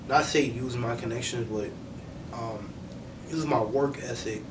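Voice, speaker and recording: Young male interview guest, earnest and reflective, conversational, average pitch, recorded off-mic over clear noise. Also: a little slow, distant mic. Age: young adult. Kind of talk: casual sit-down interview. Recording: roomy, off-mic, noisy with low rumble.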